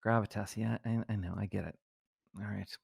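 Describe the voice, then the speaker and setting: A man's voice: on the low side, steady tone, fairly quick, gravelly, a little mellow, slightly rough, no echo, studio quality. A man co-hosting a comedy podcast, moving between banter and mock-solemn reading.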